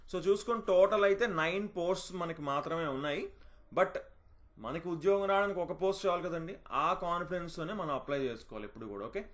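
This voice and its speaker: Fast-talking man. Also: high-pitched, fairly bright tone. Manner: instructive, often checking in with the listener. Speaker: job notification presenter. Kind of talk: informal explainer of a job notice, mixing in English terms.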